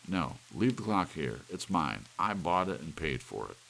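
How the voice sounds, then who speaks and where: A gruff, possessive husband acted by a male audiobook reader, low and gravelly. He is irritable and barks in curt bursts over a faint hiss of rain. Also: moderate pace, full character voice, rough recording. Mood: furious, possessive. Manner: curt, barking. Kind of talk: audiobook character voice. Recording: steady rain hiss behind.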